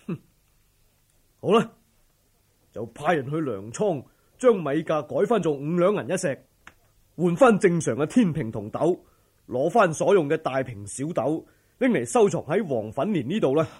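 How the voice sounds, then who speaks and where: A man's voice: a little high, big pitch swings, moderate pace, long pauses, a little mellow, husky. A man playing an arrogant, violent imperial brother-in-law in a Cantonese audio drama, blustering and scornful. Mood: grudging, commanding. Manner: blustering, scornful, with disdainful snorts.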